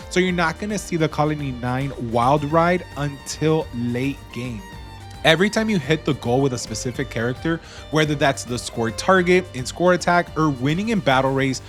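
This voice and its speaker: Male gaming host, slightly high voice, casual and slangy, dry wit, faint music bed underneath. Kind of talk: casual game review voice-over, part scripted, part off the cuff.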